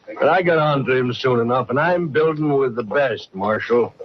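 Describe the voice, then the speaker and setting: An actor playing a proud, combative older rancher in a 1950s radio Western, boastful, folksy and quick to take offense. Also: male voice, mid-range voice, lightly acted. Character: proud, combative aging rancher turned hotel builder. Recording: noisy, dull old radio recording.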